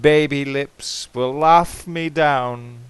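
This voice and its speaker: A man giving a theatrical, impassioned recitation of poetry, swinging between hushed and ringing delivery. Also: medium pitch, very slow, clear, resonant tone, no echo. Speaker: amateur poetry reader.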